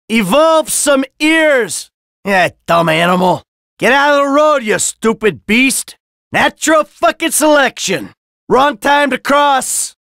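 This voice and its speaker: A man's voice: very high-pitched, vocal fry, a bit bright, no echo, studio quality, exaggerated voice. An actor playing a sarcastic, hot-tempered, middle-aged American man in a midlife crisis, in short exasperated video game lines. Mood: agitated, shouting. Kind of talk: video game character barks.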